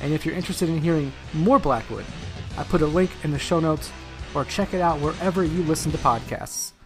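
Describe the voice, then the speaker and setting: A man's voice: a little high, a little fast. A man hosting a podcast, giving a casual spoken introduction. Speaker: podcast host. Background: music with guitar.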